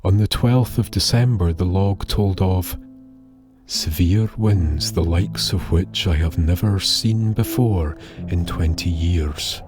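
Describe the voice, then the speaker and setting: A man narrating an eerie scripted tale in a solemn, measured, dramatic way with pauses. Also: low voice. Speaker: storyteller, narrator. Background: music.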